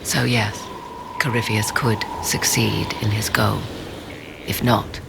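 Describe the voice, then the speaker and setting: An actress playing a mysterious, proud sorceress in a video game, speaking in formal, archaic and measured phrases with a low, creaky voice. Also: female voice, level tone, loud music behind the voice, exaggerated voice. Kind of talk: video game dialogue acting.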